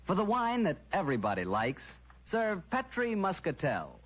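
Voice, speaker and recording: A man announcing on an old-time radio show, in the manner of a vintage sponsor pitch and host. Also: huge pitch swings, moderate pace, fairly bright tone. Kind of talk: vintage radio advertising and hosting. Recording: dull, noisy vintage radio recording.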